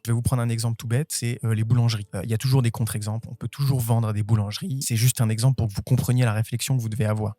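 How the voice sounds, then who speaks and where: Male online instructor, thirties. Quick, direct and conversational, soft-edged tone, dry close sound. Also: medium pitch, no echo. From Portuguese family. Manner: direct, conversational, emphatic repetition. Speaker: online instructor on selling AI services. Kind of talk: informal tutorial explanation to camera.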